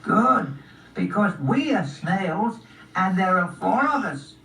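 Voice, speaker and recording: Woman playing a very high, drawling little snail. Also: very animated, fairly bright tone, exaggerated voice. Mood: polite, deliberate. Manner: drawn-out, courteous. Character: polite, slow-talking little snail, proud of its speed. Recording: roomy, distant, faintly noisy recording.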